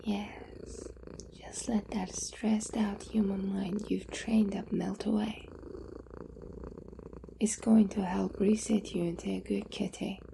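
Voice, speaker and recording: A woman playing a possessive, coaxing owner doting on a captive pet in an intimate, often whispered ASMR roleplay, slow, teasing and condescending. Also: medium pitch, flat delivery, gravelly, noisy background. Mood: doting, domineering.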